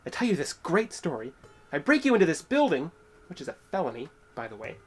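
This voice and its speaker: A man's voice: a little high, huge pitch swings, fast, slightly rough, faint music behind, full character voice. An actor playing a resentful, unhinged young man in a horror audio drama, with an accusatory, menacing manner. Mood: bitter, resentful.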